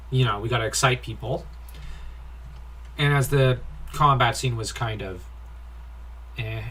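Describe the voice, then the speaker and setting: Male comic reviewer, unhurried and bright-toned; informal, nitpicky commentary, prone to sighs. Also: medium pitch, a little hiss or hum. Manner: nitpicky, critical, sighing.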